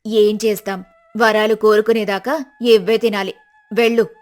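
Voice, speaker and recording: A woman voicing a scheming, sharp-tongued poor village wife in a storybook drama, talking in a bossy, scolding way. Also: medium pitch, little pitch movement, moderate pace, close to an everyday voice.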